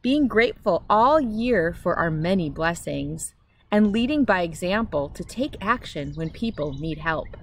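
A middle-aged American woman hosting a children's read-aloud, speaking directly to young listeners with expressive, clear phrasing. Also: on the low side, measured pace, slight background noise. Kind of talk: children's picture-book read-aloud with friendly direct address.

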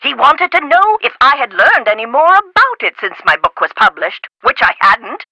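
A woman's voice: a little high, very animated, normal speed, pushed and bright, slightly rough, no room sound, exaggerated voice, rough recording. An actress playing an eccentric, haughty, impatient woman scholar in a video game, theatrical and precise, heard through a telephone. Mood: haughty, chatty.

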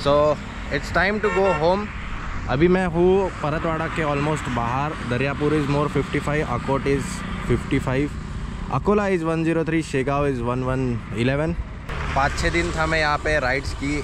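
A chatty young man talks through his ride from a motorcycle and slips English phrases in as he goes. His voice sits a little high for a man. Wind and road noise from the moving bike come through loud and clear behind him. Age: 20s. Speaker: motovlogger. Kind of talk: casual travel vlog narration while riding. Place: outdoors on a motorcycle on highways and town roads. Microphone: helmet or action camera mic while riding.

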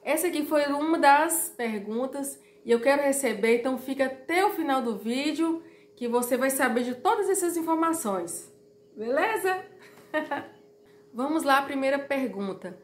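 Slightly hoarse woman in an echoey room. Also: sits high, animated, moderate pace. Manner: chatty, direct to viewers, repeats warnings. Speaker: YouTuber. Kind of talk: informal explainer vlog. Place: small room at home, indoors.

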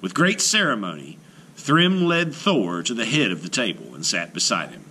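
Casting: male children's storyteller, theatrical, forceful, very varied pitch, unhurried. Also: slight background noise, low-quality recording. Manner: expressive, theatrical, deliberate. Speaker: read-aloud storyteller. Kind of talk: dramatic children's storybook narration.

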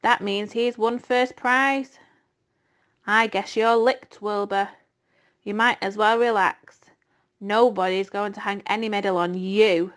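A woman voicing a greedy, overstuffed male rat, with a husky, hoarse voice and slow, drawn-out, snarling delivery. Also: mid-range voice, steady tone, a bit bright.

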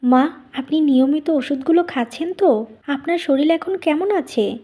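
A woman's voice: sits high, normal speed, warm tone, lightly acted. A woman voicing a kind, dutiful young daughter-in-law in a cartoon story. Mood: caring. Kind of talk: Bengali cartoon story dubbing.

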